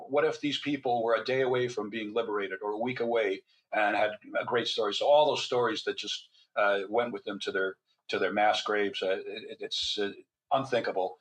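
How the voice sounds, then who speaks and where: A man's voice: fairly low pitch, fairly bright tone, low-quality recording. An older man, a physician and author, talking as a podcast guest in a chatty, storytelling way with wry asides. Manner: chatty storyteller, wry humor, frequent restarts. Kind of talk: casual podcast interview.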